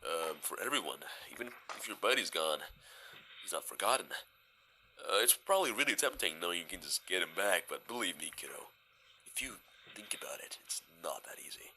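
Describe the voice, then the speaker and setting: A man in a fan audio drama playing a gentle, weary, laid-back male skeleton, speaking in a hesitant, casual, understated way. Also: low voice, animated, brisk, a little hiss or hum, full character voice, low-quality recording. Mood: gentle, uneasy.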